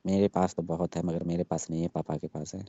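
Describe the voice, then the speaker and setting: A young man with a low, very mellow voice pleads insistently in a casual phone conversation. The call recording is dull and lo-fi, and he sounds like an emotional, jilted lover. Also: level tone, a little fast, no room sound.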